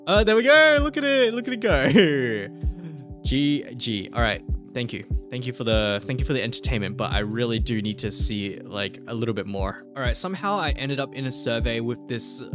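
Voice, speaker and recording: A young man hosting a gaming channel, commentating live on a mobile game as he plays, excitable and jokey, thinking aloud with sudden high yelps. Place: small room at a desk. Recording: game music under the voice.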